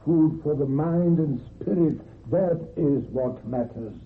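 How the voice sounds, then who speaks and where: Slow, commanding old grandfather. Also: on the high side. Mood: insistent. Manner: deliberate, commanding, insistent. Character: stern old grandfather, obsessive, controlling storyteller. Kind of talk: radio drama acting. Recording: tinny narrow-band old radio recording.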